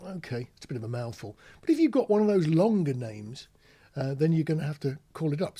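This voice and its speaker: A man's voice: a little high, warm tone, breathy edge. A British man giving an informal instructional monologue as a host, chatting through examples and tossing in rhetorical questions. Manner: conversational, rhetorical tag questions, spells out words. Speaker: podcast host, radio instructor.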